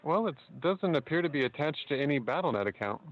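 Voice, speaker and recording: A man working as a customer support agent, talking calmly and methodically with some hesitation on a phone call. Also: a little high, fast talker. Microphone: telephone line. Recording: muffled lo-fi phone call audio.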